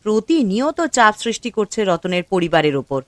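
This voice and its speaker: A woman's voice: mid-range voice, brisk, no room sound, close to an everyday voice. A woman playing a gossiping, indignant village woman in a cartoon story, with a complaining manner. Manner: animated, complaining. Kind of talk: Bengali cartoon story acting.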